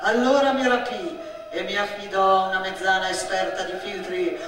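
An actress playing a melodramatic girl who play-acts a noble virgin saint, declaiming with grandiose, drawn-out words in a theatrical monologue. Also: medium pitch, steady tone, voice pushed down, close to an everyday voice. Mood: solemn, proud. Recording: echoey hall from far off, noisy.

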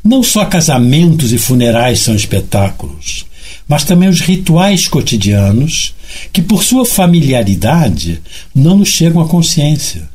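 A husky-voiced man delivers a formal text. His declamatory intonation swings widely, in a slightly high register, through measured lists. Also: big pitch swings, a little mellow. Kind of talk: formal read speech.

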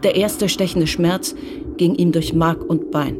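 A narrator telling a crime radio play in even, measured, scripted narration. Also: medium pitch, over music.

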